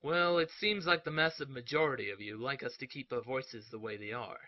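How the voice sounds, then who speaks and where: A man's voice: mid-range voice, brisk, dry recording, close to an everyday voice. A man playing a smug, boastful adult ninja teacher bantering in a comedic parody announcement. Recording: dull, dry lo-fi home dub.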